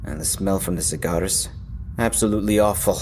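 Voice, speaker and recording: A man's voice: low voice, a little fast, darkish tone, natural voice. A man acting in an audio drama as a young, casual and cocky fighter who narrates his own story in a wry way. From Philippines. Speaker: voice actor narrating in character. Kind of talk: audio drama dialogue and first-person narration. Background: background music.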